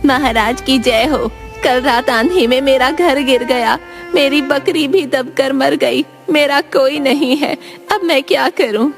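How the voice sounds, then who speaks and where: A woman's voice: high-pitched, unhurried, soft background music. A woman voicing a poor, grieving old village woman who pleads before a king in a cartoon story. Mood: pleading. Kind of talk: dubbed cartoon acting.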